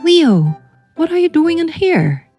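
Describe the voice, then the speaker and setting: A woman plays a little storybook rabbit, a kind and encouraging friend. The delivery is gentle and coaxing, with lively swoops in pitch at an unhurried pace. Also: faint music behind, heavily acted. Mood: curious.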